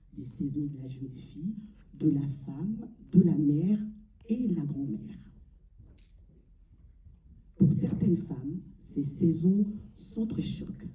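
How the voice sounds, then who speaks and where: A woman from Mali delivering a solemn, deliberate theatrical monologue, pausing between short phrases. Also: deep voice, mellow. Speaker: amateur stage performer. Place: large cinema auditorium before an audience. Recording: echoey hall, distant mic.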